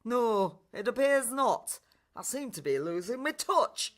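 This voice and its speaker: A woman voicing a brisk, bossy fairy godmother in a radio play. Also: a little high, very animated. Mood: brisk, commanding.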